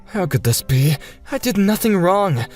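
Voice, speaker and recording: A man's voice: high voice, sing-song, measured pace, darkish tone, voice pushed up. A man voicing an earnest, solemn father, a wronged but resolute programmer, in a comic dub. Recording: music bed behind the voice.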